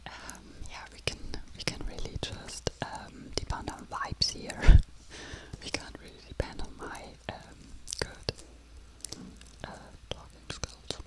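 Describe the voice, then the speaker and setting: Casting: ASMR book reviewer, soft breathy whisper throughout, unhurried and hesitant, feeling for words. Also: poor audio. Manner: halting, searching for words in a non-native language.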